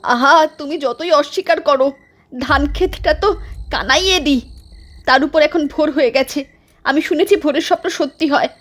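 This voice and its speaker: Lady playing a super high-pitched nervy village wife, shrill and fretful, radio-play style. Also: fairly quick, fairly bright tone. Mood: shaken. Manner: shrill, anxious, cries out and pleads. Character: frightened village wife, young adult woman. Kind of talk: dramatized storytelling.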